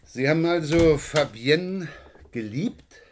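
An actor playing a French chief inspector in a German crime radio play, brisk, probing and wryly authoritative. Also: male voice, a little high, animated, slight background noise.

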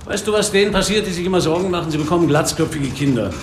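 An actor playing a weary, sardonic middle-aged police inspector in a noir radio drama, speaking drily and broodingly. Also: male voice, on the high side, rapid-fire, slight background noise, lightly acted. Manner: dry, sarcastic, brooding. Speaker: actor, first-person narrator in a radio play. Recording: studio radio drama with music underneath.

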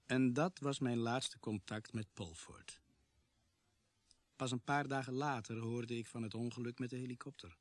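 A man plays an earnest policeman in a radio drama. His voice sits at an ordinary male pitch and has a grave, measured storytelling manner. The recording is dry, with no room around him. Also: fairly even intonation, dry recording, close to an everyday voice. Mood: sober. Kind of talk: radio drama acting and narration.

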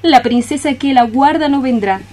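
An actress playing a lovesick young maiden cockroach, lyrical and declamatory in a poetic radio play. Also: female voice, sits high, normal speed, mellow, close to an everyday voice. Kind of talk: poetic radio theatre acting.

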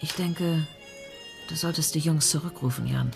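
A woman's voice: deep voice, normal speed, creaky, soft background music. A woman acting an anxious mother warning of villains in a German radio drama. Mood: anxious, urgent.

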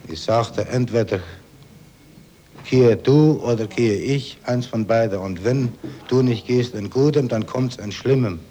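A man giving a personal account in halting, simple, broken phrases. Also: mid-range voice, dark tone. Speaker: returned prisoner of war. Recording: old recording with faint noise.

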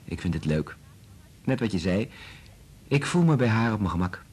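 An actor playing a gentle, earnest, considerate researcher in a Dutch radio drama, with a husky voice. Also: male voice, on the low side, sing-song, moderate pace. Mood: warm, earnest.

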